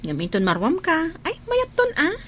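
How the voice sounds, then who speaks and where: A woman's voice: extremely high pitch, huge pitch swings, moderate pace, a bit bright, heavily acted. A woman acting in a Filipino radio drama as a friendly, upbeat domestic helper, with an Ilocano accent. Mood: cheerful, welcoming. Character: welcoming, upbeat veteran domestic helper abroad.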